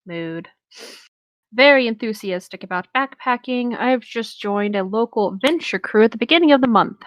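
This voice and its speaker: A woman's voice: on the high side, animated, moderate pace, no echo, lo-fi sound. Casual woman reading aloud, dry close mic. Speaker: co-host reading a story aloud. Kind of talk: casual story narration and banter.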